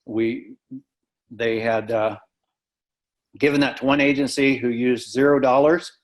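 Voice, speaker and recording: An American man giving an informal, matter-of-fact report over an online conference call. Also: mid-range voice. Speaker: community task force member. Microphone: video call microphone.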